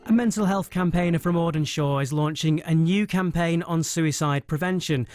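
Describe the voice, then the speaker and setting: A man's voice: a little high, normal speed, darkish tone. A British man reading a scripted radio news bulletin as the presenter.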